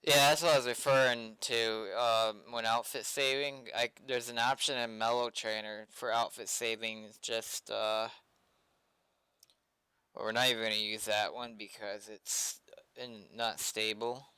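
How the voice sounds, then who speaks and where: A man's voice: mid-range voice, flat delivery, measured pace, sharp tone, clear, resonant tone, no echo. A man giving casual live commentary as he works at a computer, muttering his thoughts aloud, recorded close on a desk mic with typing and clicks. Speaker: streamer developing a game server. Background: keyboard typing and mouse clicks.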